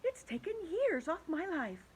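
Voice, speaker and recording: A woman's voice: very high voice, huge pitch swings, measured pace, darkish tone, a little hiss or hum, voice pushed up, exaggerated voice. A woman doing a prim, polite ladybug. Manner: polite, refined.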